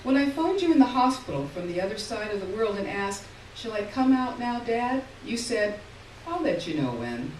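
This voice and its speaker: A woman reading a literary short story aloud at a live event, expressive, with emphasis on key words and pauses between short phrases. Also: fairly high pitch. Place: live stage reading in a room. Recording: roomy, distant mic, faint noise.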